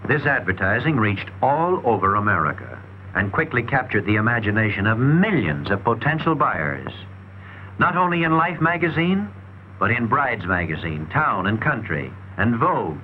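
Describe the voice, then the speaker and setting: A man's voice: on the low side, big pitch swings, normal speed, phone-quality sound, slight background noise. A man delivering scripted 1940s promotional film narration in an emphatic, salesmanlike, rhetorical manner, on an old film soundtrack.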